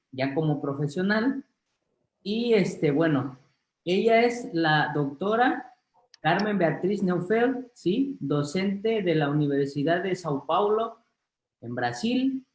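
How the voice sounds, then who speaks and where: A man's voice: on the high side, roomy sound, poor audio. A man moderating an online conference, introducing the speaker politely with many filler words. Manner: courteous, filler-heavy. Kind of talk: formal introduction.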